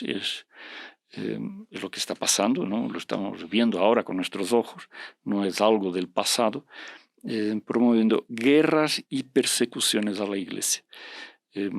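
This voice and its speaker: A man whose first language is Portuguese talks in a fairly low voice, thoughtful and earnest, as he explains things in conversation. He hesitates often, trailing off into fillers and restarting phrases as he searches for words. The sound is close and dry, with no room around it. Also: gravelly, no echo. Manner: reflective, earnest. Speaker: podcast guest discussing religious prophecy.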